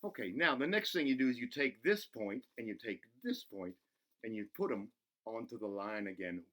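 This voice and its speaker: Goofy, excitable cartoonish buzzard played by a man, hosting for kids, giggly, very animated pitch. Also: moderate pace. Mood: playful, encouraging. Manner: giggly, self-interrupting, talks to himself in third person. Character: excitable, goofy buzzard host of a children's show. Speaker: children's show host. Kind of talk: playful craft demonstration for children.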